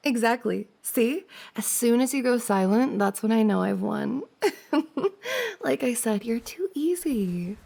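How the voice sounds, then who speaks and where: A woman's voice: a little high, sing-song, slow pace, vocal fry, breathy edge, heavily acted. A woman playing a playful, teasing young woman, a best friend's older sister, in a giggly, casual ASMR roleplay. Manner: giggly, teasing banter with frequent soft laughs.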